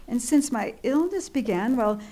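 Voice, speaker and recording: An actress playing an anxious, demanding bedridden wife in an old-time radio thriller, flustered and easily exasperated. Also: female voice, on the high side, animated, moderate pace, warm tone. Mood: hesitant, uneasy. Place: live theatre stage radio play.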